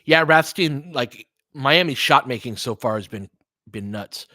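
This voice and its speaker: A man's voice: medium pitch, expressive, a little slow, sharp tone, no room sound, clean studio sound. A man giving casual live basketball commentary as a host, chatting informally with listeners and reacting like a fan.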